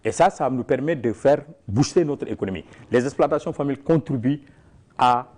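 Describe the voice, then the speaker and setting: A man's voice: mid-range voice, a little fast, dark tone. A man who leads a farmers' organization, answering interview questions emphatically, with frequent restarts.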